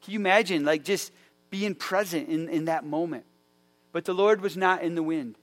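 A man delivering a sermon in a loose, conversational way, often restarting his sentences and leaning on fillers. His voice sits a bit higher than most men's, and he keeps the tone relaxed even as he tells the story with energy. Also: moderate pace, soft background music. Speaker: pastor.

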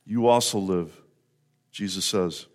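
A man preaching an Easter sermon as a pastor, earnest and warm in delivery, sometimes building to emphatic repetition. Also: sits low, slow pace.

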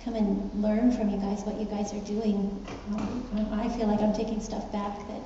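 A woman's voice: mid-range voice, little pitch movement, moderate pace. A woman visiting a church, giving an informal, slightly hesitant talk. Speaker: visiting church team member. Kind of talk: informal thank-you talk. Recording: echoey hall, distant, dull, faint noise.

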